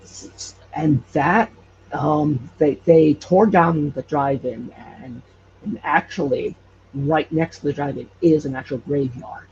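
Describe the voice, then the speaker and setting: A man with a creaky, somewhat bright voice relates stories in a casual interview. He speaks haltingly and often restarts his sentences. The audio is dull and noisy. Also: on the high side. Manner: halting, hesitant with repeated false starts. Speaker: podcast creator and guest.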